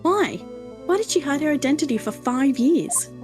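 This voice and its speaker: A woman's voice: high voice, animated, moderate pace, over music. A woman playing an earnest, easily indignant young female defense attorney in a live dub of a courtroom game.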